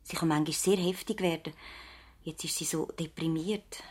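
An actress playing an earnest, thoughtful young practice assistant and loyal niece in a radio play, with a Swiss German accent. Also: female voice, low-pitched, fairly even intonation, normal speed, breathy edge. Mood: worried, hushed. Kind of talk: radio play acting, conversational dialogue.

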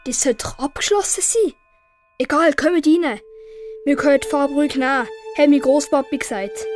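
A woman's voice: high voice, normal speed, darkish tone, faint music behind, lightly acted. A woman playing a stubborn young schoolboy with a Swiss German accent in a children's audio drama. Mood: puzzled, determined. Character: young schoolboy, stubborn secret would-be superhero.

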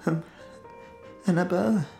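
An actor playing a desperate, unhinged young man in a radio drama, agitated and halting. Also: high voice, steady tone, vocal fry, dark tone, faint music behind. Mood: nervous, halting.

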